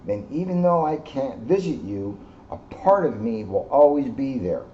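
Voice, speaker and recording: A man voicing the moon in a children's storybook as a gentle, welcoming female character who exclaims fondly. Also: mid-range voice, very animated, measured pace. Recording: muffled, noisy amateur video sound.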